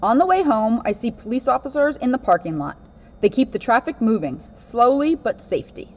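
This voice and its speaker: High-voiced woman police officer explaining for children. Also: very animated, fairly quick, darkish tone. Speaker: police officer reading to children and showing police equipment. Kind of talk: story read-aloud and informal explainer for children. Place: in and around a police car. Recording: muffled, low-grade audio with faint noise.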